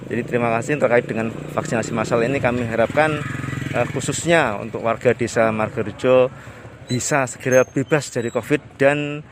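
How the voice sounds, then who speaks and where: A male village official answering a reporter in a formal, halting way with many fillers. His voice sits at a medium pitch. Faint street noise runs behind him outdoors. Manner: formal, frequent hesitation fillers. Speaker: village head. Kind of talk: interview answers.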